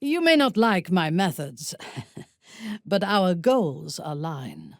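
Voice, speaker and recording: A woman playing a ruthless, imperious female warrior commander in a fantasy video game, measured and haughty, with a husky, breathy voice and coldly seductive menace. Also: on the low side, big pitch swings, no echo, studio quality, full character voice. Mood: proud, resolute.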